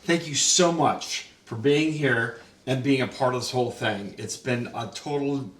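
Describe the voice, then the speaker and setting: A man's voice: medium pitch, unhurried, fairly bright tone, distant mic. Male host, chatty questioner, roomy room, off mic. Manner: chatty, asks questions, many restarts. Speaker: writer-director and host. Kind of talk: casual roundtable chat.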